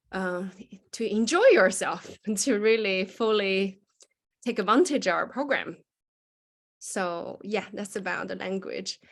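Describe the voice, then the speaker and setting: Woman explaining admissions on a video call, mid pitch. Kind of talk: explaining requirements in online meeting.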